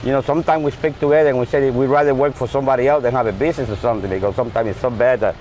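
Jovial middle-aged man outside a shop, loud fast talk, faint traffic noise. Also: medium pitch, rough recording. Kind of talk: documentary interview.

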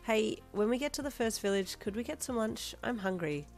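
A woman's voice: fairly high pitch, lively intonation, a little fast, soft background music, voice pushed up. Woman acting a peppy, easily annoyed young warrior. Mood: bright, polite.

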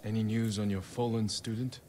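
A man acting as a resolute young-hero type of samurai in a game drama. His low voice is restrained, solemn and formal. Noisy ambience runs behind it. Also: flat delivery, brisk, darkish tone, close to an everyday voice. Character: earnest, loyal male samurai warrior, quietly resolute. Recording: clear background noise, game ambience.

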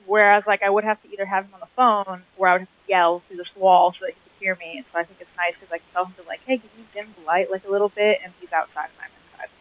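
A woman's voice: mid-range voice, steady tone, racing, hardly any pauses, fairly bright tone. A woman in her twenties chatting casually on a film set through a dull radio intercom headset. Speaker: filmmaker. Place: dim room indoors. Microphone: headset intercom mic.

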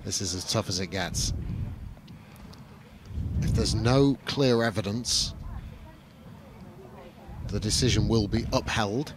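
A man's voice: on the low side, slow pace, long pauses, noisy background. A man giving live cricket commentary and analysis.

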